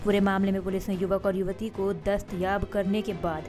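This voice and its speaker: A woman reading scripted news bulletins as anchor, in a formal, steady newsreader delivery. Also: mid-range voice, normal speed, faint music behind.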